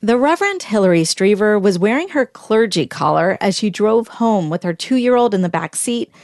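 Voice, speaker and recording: A woman's voice: mid-range voice, animated, moderate pace, darkish tone, dry recording. Woman news reporter, dry close sound.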